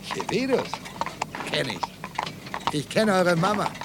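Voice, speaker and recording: A man's voice: high voice, big pitch swings, takes it slowly, heavily acted. An actor playing a friendly village cart driver in a radio drama, chatting and calling out to his horse. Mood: friendly, brisk. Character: friendly rural cart driver, an uncle to children. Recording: noisy outdoor ambience of a cart ride.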